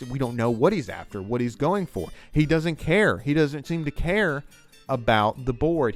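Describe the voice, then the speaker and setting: A man's voice: mid-range voice, a bit bright. A man giving enthusiastic, rambling commentary on a TV scene as the host of a video essay.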